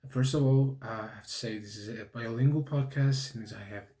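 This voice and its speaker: A man, hosting a solo podcast in English. He talks in a halting way, restarts often and keeps checking in with "okay?". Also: mid-range voice, mellow, roomy sound. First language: Persian. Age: 20s. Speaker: podcast host. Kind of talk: casual solo podcast monologue.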